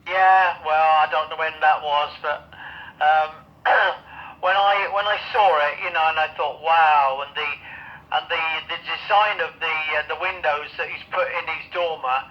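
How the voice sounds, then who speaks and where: An elderly man in his seventies with a high-pitched voice talks in a casual, rambling way, pausing to find his words. He is a radio amateur, and his voice comes through a dull, band-limited radio link. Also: fairly even intonation. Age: 70s. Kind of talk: casual two-way radio chat. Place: home radio shack in roof space.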